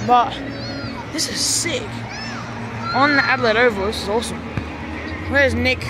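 A teenage boy with a hoarse, worn-out voice, excitedly vlogging and cheering at a football game. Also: very high-pitched, moderate pace. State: hoarse, voice lost. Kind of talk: casual vlog commentary. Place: packed outdoor football stadium. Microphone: handheld camera. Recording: loud crowd noise and music. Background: crowd cheering.

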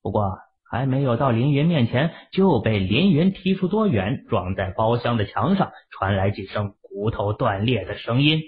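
A man's voice: medium pitch, animated, moderate pace, few pauses. A man narrating a novel as a scripted, dramatic audiobook reading. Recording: tinny, narrow-band phone-like audio.

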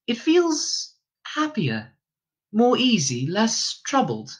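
A man voicing a gentle, grateful fallen star, a young woman, speaking softly and earnestly. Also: extremely high pitch, wildly swooping pitch, takes it slowly, husky, pitched above the performer's own voice, full character voice.

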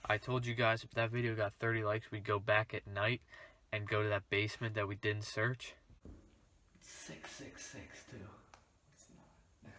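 A young man filming a casual vlog, joking and talking low as he explores a dark abandoned farmhouse basement on a handheld camera. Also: flat delivery, unhurried, pauses often. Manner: joking, hushed while exploring. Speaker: vlogger.

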